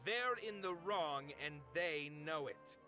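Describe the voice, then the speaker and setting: An actor playing a dutiful middle-aged theater manager in a video game, speaking formally and precisely. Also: male voice, a little high, animated, slow pace, pushed and bright, faint music behind, full character voice. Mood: indignant. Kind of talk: video game cutscene dialogue.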